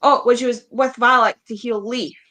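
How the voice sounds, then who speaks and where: A woman's voice: a little high, steady tone, normal speed, bright, forceful tone. A woman chatting casually as co-host of a book readalong, peppering her speech with "like" and acting out bits of dialogue. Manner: peppers speech with "like", acts out quotes.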